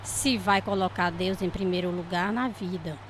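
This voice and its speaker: An actress playing a devout church woman with a Brazilian accent, giving measured counsel in a radio drama. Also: lowish voice, noisy background, natural voice.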